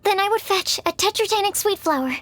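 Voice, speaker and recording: A woman voicing a timid, soft-hearted young female researcher in an anime-style video game. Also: very high-pitched, animated, brisk, soft background music.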